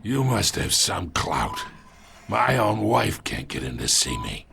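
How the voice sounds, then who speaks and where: Gravelly older mob boss, very deep voice, slow taunting drawl, lively swoops, smug. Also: male voice, exaggerated voice. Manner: slow, taunting, chuckles between lines. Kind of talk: video game voice acting.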